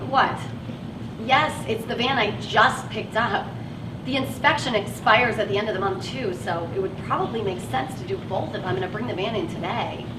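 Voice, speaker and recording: A woman's voice: medium pitch, moderate pace, lightly acted. An actress on stage playing a frustrated working woman who drives a van and feels stuck in her job. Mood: worried, exasperated. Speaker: actress in a one-act play. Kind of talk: live stage play acting. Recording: echoey, distant, noisy live stage recording.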